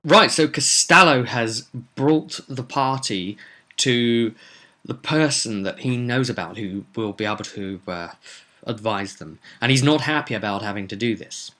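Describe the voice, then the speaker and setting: A man narrating a tabletop roleplay recap as game master, theatrical and emphatic, with deliberate pauses. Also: mid-range voice, bright, forceful tone. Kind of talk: dramatic storytelling recap.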